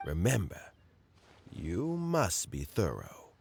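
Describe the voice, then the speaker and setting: Slow, kindly old gentleman. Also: deep voice, wildly swooping pitch, vocal fry, slightly rough, no room sound, full character voice. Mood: earnest. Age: older. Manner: slow, unhurried, reflective. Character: kindly frail old man, wistful, gentle, chuckling elder. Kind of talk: video game voice acting. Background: background music.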